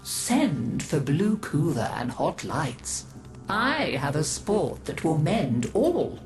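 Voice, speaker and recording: A woman's voice: low-pitched, very animated, takes it slowly, a little mellow, faint music behind, heavily acted. A woman voicing vain, decadent young aristocrats in a game's storybook tale, with affected, haughty flamboyance. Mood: cruel glee. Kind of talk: storybook character voices in a video game.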